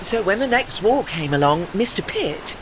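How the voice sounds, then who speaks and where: Man playing a lofty, high-voiced old warship. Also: very animated, moderate pace, background noise. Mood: proud. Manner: formal, dry, faintly pompous diction. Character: proud ageing old warship, refined, educated and witty. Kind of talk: audio play acting.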